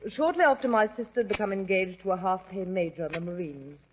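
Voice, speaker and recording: A woman's voice: mid-range voice, fast talker, natural voice. An actress playing an anxious, polite young woman in a 1940s radio drama, with an earnest, formal manner. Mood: grave, anxious. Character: anxious, polite young Englishwoman seeking a detective's help. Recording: dull, noisy old radio recording.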